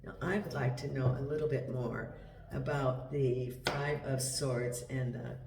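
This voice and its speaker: A man with a cold gives a chatty, meandering tarot reading at home, his voice croaky. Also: medium pitch, a little slow, recorded from a distance. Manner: chatty, meandering, with many ums. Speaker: tarot reader. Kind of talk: casual tarot reading to camera. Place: home in Portugal. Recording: echoey room, voice set back from the mic, faint hiss.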